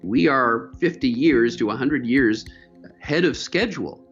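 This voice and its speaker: A man's voice: mid-range voice, lively intonation, a little slow, a bit bright. A man, a climate scientist, explaining fluently and emphatically in a documentary interview. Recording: dull lo-fi video audio with faint music.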